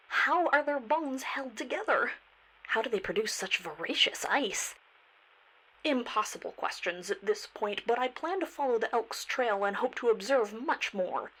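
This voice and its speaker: Actress as inquisitive woman field naturalist, slightly high voice. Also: expressive, unhurried, a bit bright, poor audio. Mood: wondering, then determined. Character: woman field naturalist, doctor, recounting a strange perilous expedition. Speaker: voice actor. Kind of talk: first-person field report narration.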